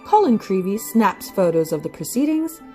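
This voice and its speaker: A woman's voice: mid-range voice, big pitch swings, moderate pace, mellow. A woman giving dramatic scripted narration for an audio play, with music behind her.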